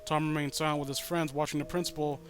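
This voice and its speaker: Male comic reader, fairly high voice, profane and exasperated, trips over words, music underneath. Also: little pitch movement, fast. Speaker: host reading and riffing on a fan-written horror story. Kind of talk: comedic dramatic reading with ranting commentary.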